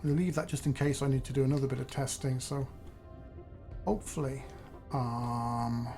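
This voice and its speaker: A slightly hoarse man, thinking aloud in chatty, self-deprecating gameplay commentary as host. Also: mid-range voice, level tone, moderate pace, warm tone, faint music behind. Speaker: let's play host.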